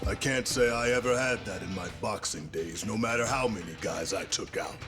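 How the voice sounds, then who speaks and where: A man plays a cruel yakuza villain who used to box, in a video game. The voice is low and menacing, and the delivery is smug and taunting, gloating over enemies. Music plays underneath. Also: moderate pace, lightly acted. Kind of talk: dramatic video game cutscene acting.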